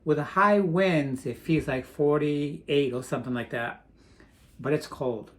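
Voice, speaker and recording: A man's voice: a little high, unhurried. A man from Portugal chatting casually in a vlog, rambling with frequent restarts. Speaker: vlogger. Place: home in Florida.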